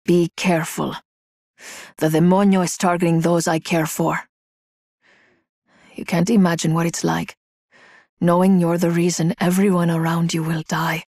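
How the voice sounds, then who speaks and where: A woman's voice: fairly low pitch, level tone, moderate pace, pauses often, darkish tone, no echo, studio quality. An actress playing a guarded, grief-laden young woman fighter in a video game, serious. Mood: anguished warning. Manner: serious, intense.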